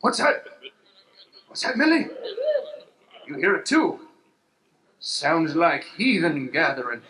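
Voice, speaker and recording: Wandering Old West parson played by a man, slow and high, earnest courtly drawl, live radio play. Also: sing-song, a crowd in the background, exaggerated voice. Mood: wary, defiant. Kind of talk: improvised Western radio play. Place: live improv show on a stage. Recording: echoey stage with background noise.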